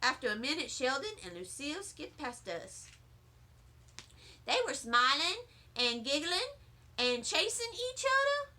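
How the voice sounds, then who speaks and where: Animated, high-pitched woman reading a storybook. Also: measured pace, long pauses. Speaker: teacher reading aloud. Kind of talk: expressive children's storybook narration.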